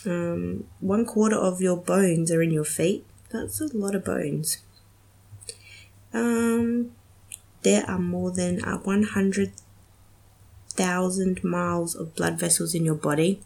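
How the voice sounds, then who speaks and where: A woman's voice: fairly low pitch, steady tone, slow, gravelly, darkish tone. A young woman hosting a casual solo podcast, chatty and giggly with many ums, sounding slightly nasal from a cold. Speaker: podcast host.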